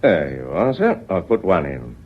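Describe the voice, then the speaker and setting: A genial British police inspector in a radio drama. His crisp, clean voice rises and falls dramatically with folksy courtesy that hides sly persistence. The recording is dull and lo-fi. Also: sits low, big pitch swings, moderate pace, heavily acted. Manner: folksy, deferential politeness masking pointed questioning.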